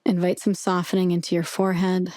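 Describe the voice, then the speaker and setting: A woman narrating a guided meditation with soothing, measured, gentle instruction. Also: fairly low pitch, monotone, dry recording, studio quality.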